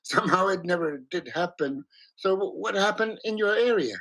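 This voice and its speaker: A filmmaker interviews a guest, and his questions come slowly, broken up by fillers. His voice sits slightly high. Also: fairly bright tone, poor audio.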